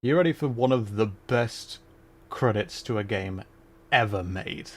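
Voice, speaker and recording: A man giving casual livestream commentary as he plays a video game, his voice slightly gravelly. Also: lowish voice, a little slow.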